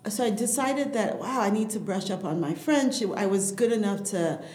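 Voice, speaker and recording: A woman who grew up in Haiti with Creole as her first language, telling stories as an interview guest in a lively, chatty way. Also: medium pitch, moderate pace, roomy sound. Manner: lively storyteller, frequent "right?" and "you know". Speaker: wine entrepreneur, guest. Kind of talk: casual interview.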